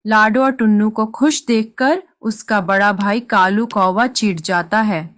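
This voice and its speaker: A woman's voice: medium pitch, a little slow, fairly bright tone, dry recording, clean studio sound. A woman narrator reading a scripted moral story for a cartoon.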